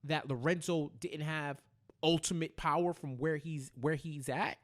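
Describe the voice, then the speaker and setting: A man with a fairly high voice chats casually as a podcast host. He retells scenes by voicing the quotes and often doubles up phrases for emphasis. The style is loose, conversational TV recap. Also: steady tone, a little slow.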